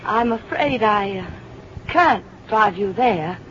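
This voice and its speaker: A woman's voice: mid-range voice, lively intonation, slow, fairly bright tone. A woman acting in an old-time radio drama as a sardonic, plucky American schoolteacher, theatrical and wry in her delivery. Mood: wary, deliberate. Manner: theatrical, wry, talking to herself. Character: sardonic, plucky American schoolteacher driving alone, shaken but defiant. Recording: dull, noisy old radio recording.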